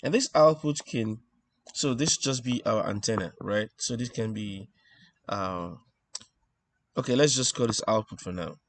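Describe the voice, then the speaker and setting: A man giving an informal technical lesson as he draws, explaining step by step in a halting way and thinking aloud. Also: lowish voice. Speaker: instructor presenting an electronics tutorial. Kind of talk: informal technical lesson, talking while drawing.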